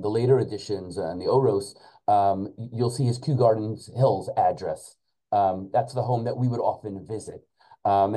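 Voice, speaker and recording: A man in his forties reminiscing as an interview guest in a halting, searching manner, sprinkling in Hebrew and Yiddish religious terms. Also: fairly low pitch, mellow, rough recording. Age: 40s. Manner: halting, searching for words, backtracking. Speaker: podcast guest, school principal and rabbi.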